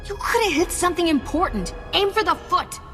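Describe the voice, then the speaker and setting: An actress playing a tough, sardonic smuggler captain in a video game. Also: female voice, extremely high pitch, lively intonation, brisk, a bit bright. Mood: sarcastic. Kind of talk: video game cutscene acting. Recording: reverberant hall, faint music.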